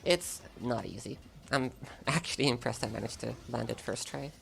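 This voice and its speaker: A woman's voice: very deep voice, moderate pace, gravelly, a little hiss or hum. A German woman with an American-sounding English accent, chatting casually and laughing often while commentating a speedrun of her game live.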